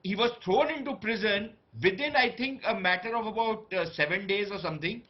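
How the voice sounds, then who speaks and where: A man with an Indian accent speaks as a debate panelist in a fairly high voice. He lays out his analysis step by step with firm emphasis. The link sounds a little dull. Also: fairly quick. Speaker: panelist, commentator.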